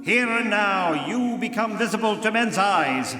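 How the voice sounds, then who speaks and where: A man acting a commanding, all-knowing invisible crime-fighter in old-time radio drama style, declaiming slowly and grandly with menace. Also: sits high, expressive, sharp tone, heavily acted. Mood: menacing, commanding. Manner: grandiose, slow, taunting declamation. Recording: live in reverberant church, music behind.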